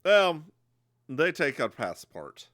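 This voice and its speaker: A man's voice: on the high side, slow, dry recording, studio quality. A man co-hosting a podcast, recapping a TV episode in casual chat and slipping into voices when he quotes characters. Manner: animated storyteller, slips into voices when quoting.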